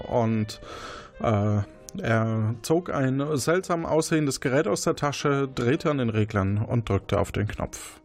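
A man running a detective role-play as game master, easygoing and encouraging. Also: medium pitch.

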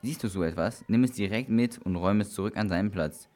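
Low-voiced male children's radio presenter. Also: quick pace, darkish tone. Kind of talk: scripted tips for children.

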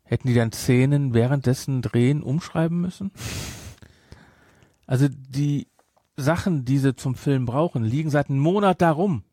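A man's voice: medium pitch, normal speed, warm tone, dry recording, studio quality. A man hosting a podcast, chatting casually about a film with sarcastic, incredulous asides. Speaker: podcast host. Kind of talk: casual film discussion chat.

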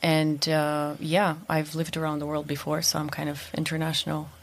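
A woman's voice: low voice, monotone, normal speed. A young woman raised in Russia and the United States, chatting as an interview guest with frequent ums and restarts. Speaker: event manager, guest. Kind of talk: casual interview talk.

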